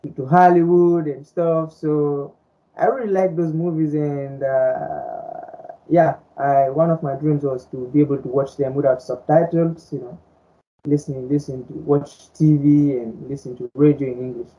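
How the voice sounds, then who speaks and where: A man from Guinea whose first language is Fulani, explaining things at a measured pace with lots of "um"s as a podcast guest. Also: a little high, fairly even intonation, mellow. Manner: measured, explanatory, with many fillers. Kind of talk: casual podcast discussion. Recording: dull lo-fi recording.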